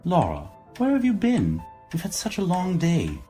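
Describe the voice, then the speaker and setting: A man playing a weary, flattering, wistful president in an audio drama. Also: sits high, normal speed, slightly rough, faint music behind, heavily acted. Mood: coaxing, flattering. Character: weary, wine-drinking male president, flattering and maudlin.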